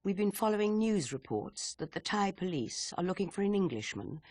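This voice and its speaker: A brisk female intelligence chief in a video game cutscene. She is authoritative and dry, with a raspy voice that moves widely in pitch, captured close and dry. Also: sing-song, darkish tone, no room sound. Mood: stern, wry. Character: brisk, stern intelligence chief briefing an agent.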